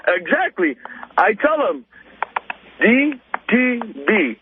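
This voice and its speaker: A man's voice: high voice, very animated, takes it slowly. A man calling a radio show, ranting casually in an emphatic, exasperated way. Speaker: radio show caller, salesman. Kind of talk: casual phone-in rant. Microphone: telephone. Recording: tinny phone line with faint noise.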